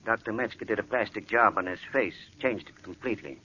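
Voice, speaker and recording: An actor playing a worried, earnest hospital doctor in 1940s radio drama, speaking with dramatic urgency. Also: male voice, fairly low pitch, brisk, lightly acted. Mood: grave, secretive. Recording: muffled, faintly noisy old radio recording.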